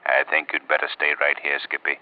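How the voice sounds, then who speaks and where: An actor playing a suave, commanding detective in an old radio drama, speaking in a measured, authoritative way. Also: male voice, rumbling low, completely flat delivery. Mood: accusing, commanding. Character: suave, commanding male detective with secret identity. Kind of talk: 1940s radio drama acting. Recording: muffled old radio recording.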